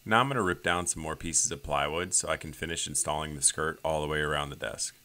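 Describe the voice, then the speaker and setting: A man's voice: a bass voice, fast talker, pushed and bright. A man in his thirties narrating his woodworking build in a plain, step-by-step voice-over. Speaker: woodworking channel host.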